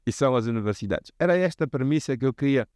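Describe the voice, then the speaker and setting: A man's voice: medium pitch, expressive, fast, darkish tone, smooth, no room sound, studio quality. A man with a Mozambican accent hosting a reflective interview, talking in a discursive, professorial way. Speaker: host.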